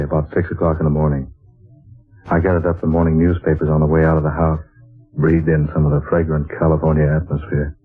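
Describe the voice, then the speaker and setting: An actor playing an earnest male writer who narrates his journey, in 1940s radio drama style. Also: a bass voice. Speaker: narrator and lead character. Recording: tinny lo-fi old radio broadcast with music.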